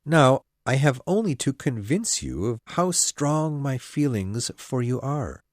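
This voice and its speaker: A woman putting on a low, pompous male suitor. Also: very animated, darkish tone, no echo, studio quality, full character voice. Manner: pompous, smug, slow and insistent. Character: pompous self-satisfied young male clergyman suitor. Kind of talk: storybook character voice.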